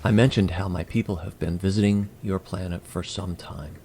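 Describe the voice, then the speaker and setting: Alien emissary for sci-fi audio drama, played by a man: low, gravelly, courteous and formal, faint noise behind. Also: steady tone, dark tone. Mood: earnest, measured. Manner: formal, measured, precise wording. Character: polite, curious, formal male alien emissary.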